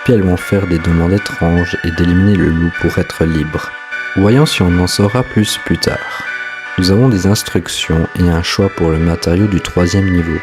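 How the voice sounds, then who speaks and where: A man's voice: deep voice, fairly even intonation, moderate pace. A man hosting and narrating a scripted explainer of a horror story. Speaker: host, narrator. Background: music.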